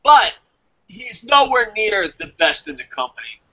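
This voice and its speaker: A man's voice: sits high, very animated, a little slow, bright, forceful tone, poor audio. An American man chatting casually and emphatically about his opinions as a review show host, swearing freely. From New Jersey, United States.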